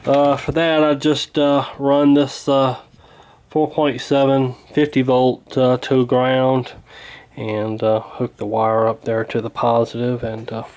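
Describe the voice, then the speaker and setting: A man's voice: mid-range voice, monotone, slow pace, darkish tone. A man talking casually and hesitantly through a hands-on repair, in a folksy, unscripted way. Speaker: radio repair hobbyist, presenter.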